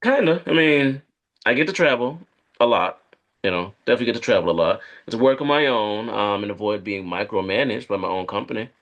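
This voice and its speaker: An actor plays a guarded man on a date with a fairly low voice. He talks in halting, evasive phrases, like a corporate professional careful about what he reveals, in the conversational style of a screenplay table read. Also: lively intonation, a bit bright. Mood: evasive, explanatory. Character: guarded male corporate operative on a first date. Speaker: actor at a live script read.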